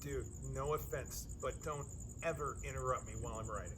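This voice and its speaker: A man's voice: mid-range voice, brisk, close to an everyday voice. A man playing a cocky, prickly, self-absorbed TV writer in a comedy table read, with a condescending manner. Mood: irritated. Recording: very noisy live recording, traffic ambience.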